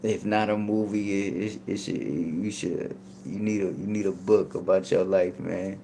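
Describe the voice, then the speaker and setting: A man hosting a casual chat with a deep, gravelly voice. He is easygoing and enthusiastic, peppering his talk with slang. Faint hiss runs behind him. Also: fairly even intonation, normal speed, mellow, low-quality recording. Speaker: podcast host.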